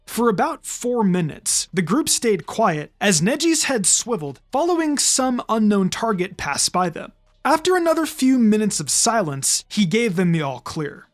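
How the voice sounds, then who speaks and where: Animated male story narrator. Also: sits high, moderate pace. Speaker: fan fiction reader.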